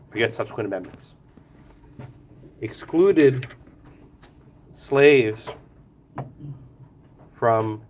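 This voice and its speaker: A man's voice: mid-range voice, dull audio, a little hiss or hum. A man teaching a law class, calling on students by name and pressing them with questions, a little congested today. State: slightly congested, lower voice than usual. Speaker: law professor. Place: law school lecture room.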